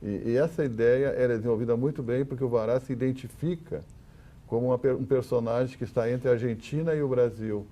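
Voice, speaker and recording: A man with a Brazilian accent speaking as an academic guest on a discussion panel, explaining haltingly and often repeating words. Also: mid-range voice, warm tone.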